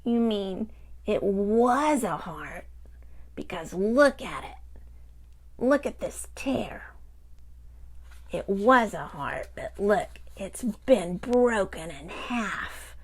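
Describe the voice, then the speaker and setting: A woman voicing a sad, tearful little boy puppy puppet who talks in halting bursts. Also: mid-range voice, lively intonation, heavily acted.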